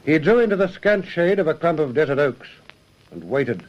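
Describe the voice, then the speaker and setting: A man's voice: on the high side, big pitch swings, normal speed, highs cut off. A man narrating a detective radio serial in a formal, descriptive storytelling manner. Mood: grave, scene-setting. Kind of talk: scripted radio drama narration.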